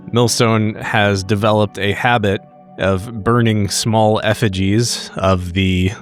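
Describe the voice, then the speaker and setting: A man with a fairly low voice plays a tabletop roleplaying game. He phrases things casually and deliberately, swears a little, and has music running beneath him. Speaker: tabletop roleplay podcast player. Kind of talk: player narrating his character's actions.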